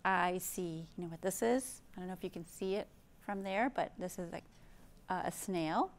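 A woman in her fifties hosting a children's storytime, speaking gently and expressively in simple phrases, with questions for young listeners. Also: lowish voice, moderate pace. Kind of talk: read-aloud storytime for young children, with chat and song. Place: indoor library program room.

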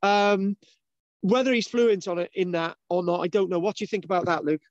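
A man's voice: high-pitched, fairly even intonation, a little fast, dry recording. A man hosting a casual video-call discussion, rambling with restarts and asking "right?" often. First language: English. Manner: rambling, many restarts and fillers, asks "right?". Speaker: host. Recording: dull lo-fi video call audio.

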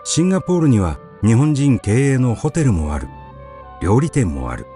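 A man reading a literary short story aloud as an audiobook narrator, with measured, expressive storytelling. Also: mid-range voice, soft background music.

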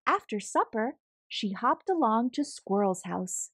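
Woman, children's story read-aloud, higher-pitched, unhurried, expressive, deliberate phrasing, close dry sound. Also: no room sound. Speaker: storybook reader. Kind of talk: scripted read-aloud of a children's picture book.

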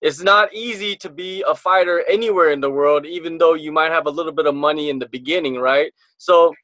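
A man's voice: fairly high pitch, fairly quick, sharp tone, no echo. A young adult man interviewing a fighter over a video call, asking leading, encouraging questions. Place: indoors, home room. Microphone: video call webcam.

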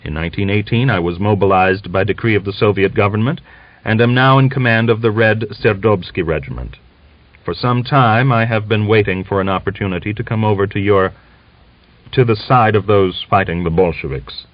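A man's voice: on the low side, a little fast, no echo, natural voice. A man voicing an earnest, anxious, formal army officer in an audiobook novel. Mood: earnest, persuasive. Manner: formal, emphatic, persuasive. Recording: dull lo-fi audiobook recording.

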